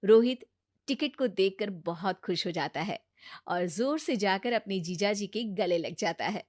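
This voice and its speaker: A woman's voice: medium pitch, measured pace, a little mellow, no room sound, clean studio sound. A woman narrating a scripted moral story as a storyteller.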